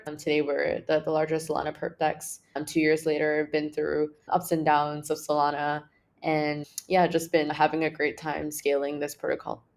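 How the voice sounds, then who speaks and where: Low, gravelly-voiced woman guest. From Malaysia. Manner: relaxed, many fillers and restarts. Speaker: crypto co-founder, guest. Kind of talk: casual interview chat.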